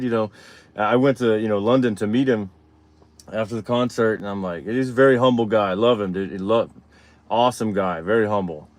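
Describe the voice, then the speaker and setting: A man, chatting casually as host of his own livestream, rambling and reading viewer questions aloud. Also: on the low side. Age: 30s.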